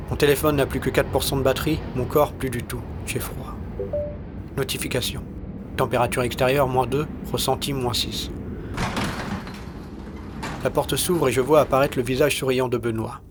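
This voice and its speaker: A man with a medium-pitched voice reads a scripted first-person story. His delivery is terse and weary, with a wry, colloquial edge. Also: background noise. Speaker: narrator and author of an audio short story.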